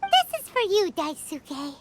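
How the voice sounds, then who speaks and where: A woman voicing a tiny, childlike, cheeky floating fairy companion in an anime video game, with a squeaky voice. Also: wildly swooping pitch, takes it slowly, very noisy, full character voice. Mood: pleased. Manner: talks about self in third person, exclamatory.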